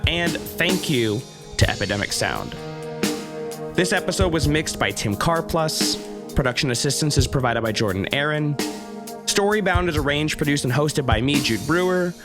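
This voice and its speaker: A man with an American accent hosting a podcast, delivering scripted announcements and credits. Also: medium pitch. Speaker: podcast host. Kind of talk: scripted outro narration. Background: music.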